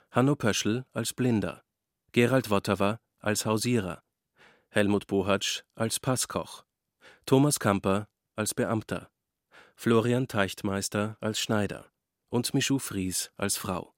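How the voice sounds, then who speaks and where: A man reading scripted radio drama credits as an announcer, formal and measured. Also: lowish voice, no room sound, clean studio sound.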